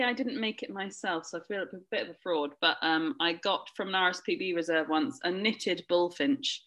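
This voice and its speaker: A woman's voice: lowish voice, bright, forceful tone. A woman from southern England with a southern English accent, a podcast guest who talks in a bubbly way and laughs often. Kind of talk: casual chat.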